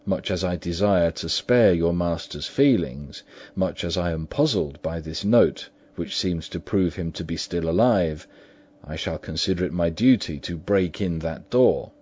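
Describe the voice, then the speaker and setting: A man voicing a middle-aged gentleman lawyer who speaks formally and deliberately. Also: deep voice, husky. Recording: dull lo-fi recording.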